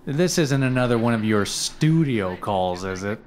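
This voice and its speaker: A man's voice: mid-range voice, lively intonation, normal speed, close to an everyday voice. Man acting a skeptical, needling hotel co-worker. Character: skeptical, put-upon male hotel co-worker, needling and timid. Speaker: actor in an audio drama. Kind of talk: period radio drama acting.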